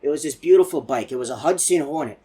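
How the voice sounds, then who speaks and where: A man voicing a chatty, excitable elderly animatronic in a video game, telling folksy, rambling stories in a husky old voice. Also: on the high side, quick pace. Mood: rambling reminiscing. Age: older. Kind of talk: rambling game character monologue.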